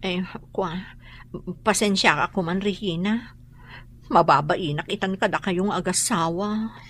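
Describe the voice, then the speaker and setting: An actress with an Ilocano accent playing a sharp-tongued, nosy woman boarder in a radio drama, speaking emphatically. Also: on the low side, unhurried. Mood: ashamed, remorseful.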